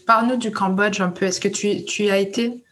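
A woman leads the interview as guest host, voice at an ordinary middle pitch. She asks her questions conversationally and often restarts a phrase before finishing it. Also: fairly even intonation, fairly quick, fairly bright tone, poor audio.

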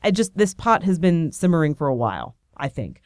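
A woman hosts her podcast in a bubbly, rambling, self-deprecating monologue, speaking with a cold. Her voice sits on the low side yet stays smooth and clear. The recording is dry and close, with no room sound around her. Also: big pitch swings, fairly quick, a little mellow, dry recording. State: has a cold. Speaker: podcast host. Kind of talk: casual chatty monologue.

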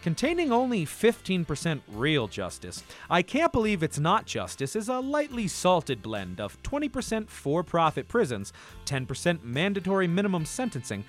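An over-keen, cheery pitchman acted by a man sells in a spoof commercial. His fairly high voice swings dramatically in pitch over faint music. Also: wildly swooping pitch, normal speed. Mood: salesy.

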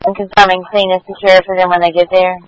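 A man working as a police dispatcher, speaking in brisk, formulaic radio procedure with a businesslike manner. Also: high-pitched, completely flat delivery, clear, resonant tone, no echo, muffled recording.

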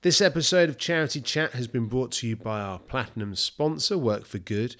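A man hosting a podcast, reading a sponsor message and then asking halting, self-interrupting questions. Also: medium pitch, fairly bright tone. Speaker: podcast host. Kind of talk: scripted sponsor read, then casual interview.

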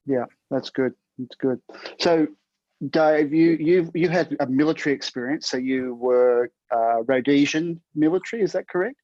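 A man interviewing a guest, asking halting questions with fillers and hesitations. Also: fairly high pitch, darkish tone, no room sound, muffled recording.

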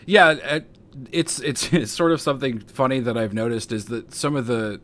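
A man speaks at an ordinary, mid-range pitch, calm and unhurried. He hesitates often and fills his pauses with uh and you know. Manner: calm, hesitant, frequent fillers. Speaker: guest, online personality. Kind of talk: casual conversation.

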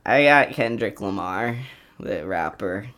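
A man's voice: on the low side, lively intonation, slow pace, fairly bright tone. A young man co-hosting a podcast in casual comedic banter, rambling and swearing freely. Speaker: podcast co-host.

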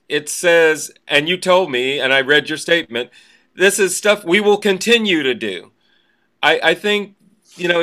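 Man, podcast interviewer, slightly high pitch, bright forward tone, earnest, discursive, careful phrasing. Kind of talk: conversational interview hosting.

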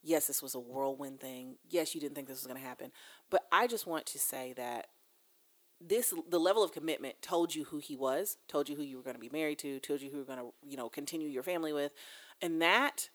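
Woman, quick talker, distinctly low voice, emphatic interview host, repetitive phrasing, casual. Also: fairly bright tone. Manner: emphatic, builds points through repeated phrases.

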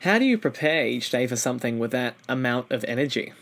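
A man's voice: medium pitch, big pitch swings, quick pace, a bit bright. A man hosting an interview, asking prepared questions in a conversational way. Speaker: interview host.

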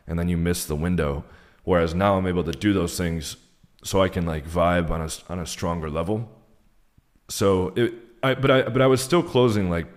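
A man with an American accent and a low-pitched voice talks as a guest on a podcast. His delivery is casual and rambling, with "like" scattered all through his sentences. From United States. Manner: rambling, peppered with "like". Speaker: podcast guest, dating coach. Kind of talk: casual conversational interview answers.

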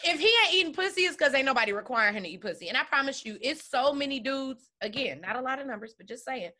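A woman's voice: sits high, animated, fast talker, pushed and bright. A woman in casual chat, talking bluntly and with animation.

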